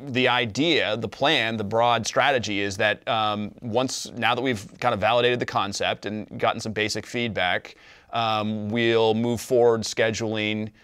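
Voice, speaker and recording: A man in his forties speaks with a smooth, lowish voice in a dry studio. He is an interview guest, laying out his points in an earnest, orderly way and restating them as he goes. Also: fairly even intonation, unhurried, fairly bright tone, no echo. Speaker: business co-owner, interview guest. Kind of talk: explanatory interview talk. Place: small TV studio.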